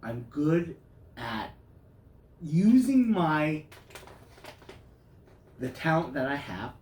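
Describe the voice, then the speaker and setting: A man in his fifties, a guest musician, talking in a reflective, halting way with many restarts. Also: a little high, big pitch swings, a little mellow. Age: 50s. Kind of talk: casual conversation. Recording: roomy sound with guitar music behind.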